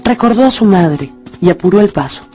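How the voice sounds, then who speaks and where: A woman's voice: mid-range voice, lively intonation, a little fast, dark tone. Female storyteller for radio drama, mid-range voice, scripted, music underneath. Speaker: narrator.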